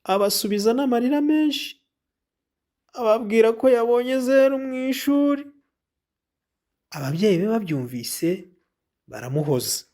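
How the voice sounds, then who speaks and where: A man with a Rwandan accent reading a children's story aloud as a teacher, in a deliberate, instructive manner for young learners. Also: very high-pitched, big pitch swings, dark tone. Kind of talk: read-aloud lesson with comprehension questions.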